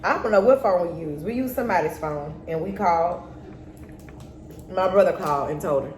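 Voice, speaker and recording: A middle-aged woman with a slightly low voice shares a personal story in a casual, candid way. She slips into remembered dialogue as she talks. The room is echoey. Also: brisk, a little mellow. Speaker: mother co-hosting a storytime vlog with her daughter. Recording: roomy echo, faint background noise.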